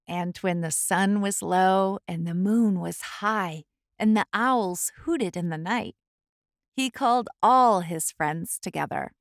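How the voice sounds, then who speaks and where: A woman reading a children's storybook aloud as the host, in an expressive, deliberate storytelling style. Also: medium pitch, no room sound, studio quality.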